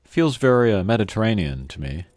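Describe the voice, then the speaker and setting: Low-voiced man giving lively, rambling game commentary. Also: a little fast. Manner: rambling, opinionated, informal. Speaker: video game streamer. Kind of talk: casual let's-play commentary. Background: faint game music.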